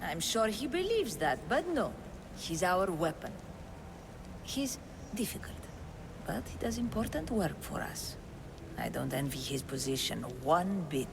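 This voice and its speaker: This is a zealous woman cultist in a game cutscene. She is theatrical and effusive, her pitch leaping around dramatically. Long reverb and clear background noise surround her. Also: sing-song, a little slow, heavily acted. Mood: confiding. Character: theatrical, zealous female cultist greeter, eagerly welcoming. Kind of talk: video game cutscene acting. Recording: long hall reverb, noisy ambience.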